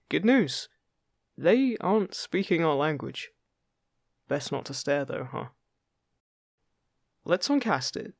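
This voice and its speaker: A man playing a friendly, upbeat fairy guide in an intimate fantasy roleplay, chatty, gentle and encouraging with the listener. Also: a little high, vocal fry, dry recording, clean studio sound, full character voice. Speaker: voice actor in an audio roleplay.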